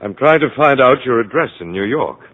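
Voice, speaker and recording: An actor playing a brusque, impatient doctor who interrogates a patient with condescending insistence in a radio drama. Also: male voice, mid-range voice, animated, a little fast, lightly acted. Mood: probing. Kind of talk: mid-century American radio drama acting. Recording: muffled old radio recording, hollow reverb.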